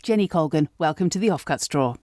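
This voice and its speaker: A woman's voice: deep voice, lively intonation, fast talker, mellow, no room sound, clean studio sound. A woman hosting a podcast, moving between scripted introductions and conversational interview questions.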